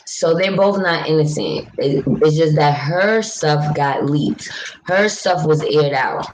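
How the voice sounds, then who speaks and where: A young woman from New York with a deep voice, chatting casually with viewers on a livestream in a frank, talkative way. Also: slow, a little hiss or hum, rough recording. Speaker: livestream vlogger. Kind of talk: casual live chat, answering viewer comments.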